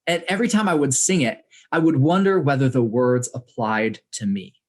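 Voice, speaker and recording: A young adult man from the United States, reading aloud from his own book to an audience in a measured way with dry humor. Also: mid-range voice. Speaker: author reading and speaking to an audience.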